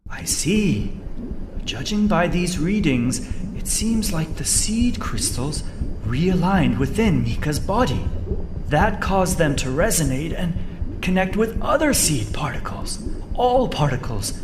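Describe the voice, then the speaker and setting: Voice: medium pitch, big pitch swings, slow pace. An actor playing an analytical, worried science officer in a dramatic game cutscene, with vehicle noise behind the voice. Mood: grave, worried.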